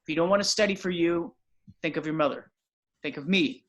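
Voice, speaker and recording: A man's voice: a little high, a little fast, a bit bright, close to an everyday voice. A man playing a stern rabbi who lectures his son, at an amateur table read. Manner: scolding, lecturing. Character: stern middle-aged male rabbi father.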